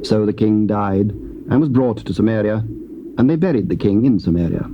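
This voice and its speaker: Stately male scripture narrator. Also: on the low side. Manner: measured, stately reading. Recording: dull tape with hiss.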